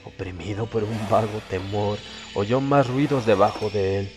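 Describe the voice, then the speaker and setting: Low-voiced man narrating horror over music. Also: steady tone. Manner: suspenseful, theatrical, deliberate phrasing. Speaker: audiobook narrator. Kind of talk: dramatic scripted horror narration.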